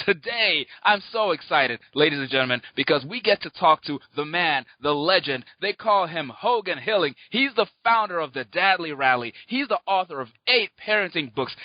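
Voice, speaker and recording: A man's voice: a little high, no echo, highs cut off. A man hosting a podcast interview, introducing his guest with upbeat, showman-like energy before asking questions. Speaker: podcast host.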